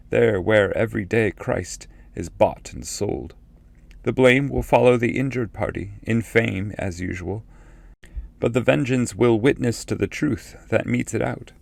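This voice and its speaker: A man voicing a grave, fatherly ancestral spirit who foretells exile in solemn, measured verse from an epic poem. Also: mid-range voice, creaky, no room sound.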